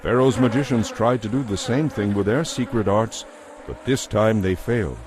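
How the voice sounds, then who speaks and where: A man narrating a dramatized audio Bible as a solemn, measured storyteller. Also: on the low side, a crowd in the background.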